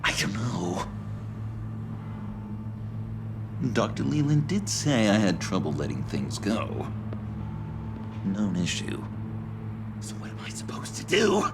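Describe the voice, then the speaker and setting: A man's voice: lowish voice, very animated, unhurried, long pauses, background noise. An actor playing a manic, needy young male villain in a video game, chatty and theatrical. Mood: sulky reluctant. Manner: theatrical, chatty, wheedling, swooping. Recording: loud music behind.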